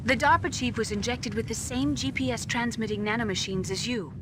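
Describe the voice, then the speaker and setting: A woman voicing a calm, wry female doctor advising over the radio, in a noisy game cutscene. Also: fairly high pitch, a little fast, lightly acted. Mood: cautioning. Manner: calm, warning.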